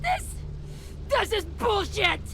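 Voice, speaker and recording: A woman playing an angry, grieving, hot-headed young boy in a video game, shouting accusations. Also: very high voice, very animated, takes it slowly, music in the background, heavily acted. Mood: furious, grieving.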